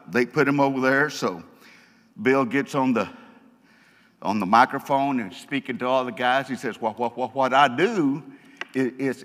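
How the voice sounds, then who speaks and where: A man's voice: mid-range voice, fairly bright tone. A man with a stutter giving a folksy, joking testimony to a church congregation. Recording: long hall reverb.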